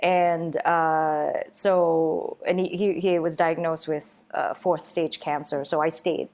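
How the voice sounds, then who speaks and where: A woman in her thirties from Singapore, a podcast guest chatting in a rambling, halting way and laughing often. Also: deep voice, level tone, a little mellow. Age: 30s. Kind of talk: casual chat.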